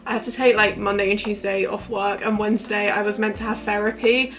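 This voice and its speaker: A woman in her twenties vlogging casually to camera, chatty and rambling, her voice a little croaky from illness. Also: medium pitch, flat delivery, brisk, soft background music, low-quality recording. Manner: chatty, rambling, lots of fillers. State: recovering from illness, voice a bit croaky. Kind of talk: casual talking-to-camera vlog.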